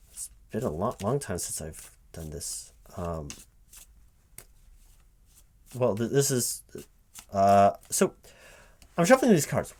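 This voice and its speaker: A man with a fairly low voice plays a recruiting manager in a one-sided ASMR roleplay. He speaks aloud without whispering, haltingly, often stammering and repeating words as if thinking through each reply. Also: darkish tone, husky. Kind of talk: one-sided interview roleplay, soft-spoken ASMR at normal voice.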